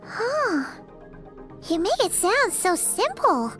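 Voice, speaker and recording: A woman voicing a tiny, perky, chatty fairy girl in a video game, with a squeaky, childlike, bubbly delivery. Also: very animated, slow pace, fairly bright tone, soft background music, heavily acted. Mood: skeptical. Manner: bubbly, exclamatory, refers to herself by name.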